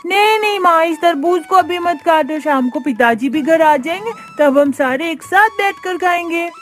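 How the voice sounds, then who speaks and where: Woman doing a high-pitched, eager little girl. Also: expressive, normal speed, soft background music. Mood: sweet, eager. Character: sweet, eager little girl, a poor daughter.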